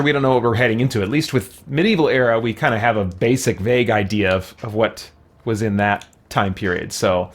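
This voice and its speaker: A man doing casual gaming commentary as a let's-play host, thinking aloud in a conversational way. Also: mid-range voice. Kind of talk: casual gaming commentary, answering viewer questions.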